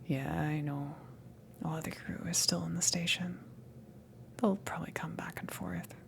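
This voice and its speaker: A woman voicing a friendly, flustered alien ship crewmember in a soft ASMR roleplay, speaking in a hesitant, breathy half-whisper. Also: on the low side, steady tone, slight background noise. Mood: reassuring, weary. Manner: hesitant, awkward, giggly, halting.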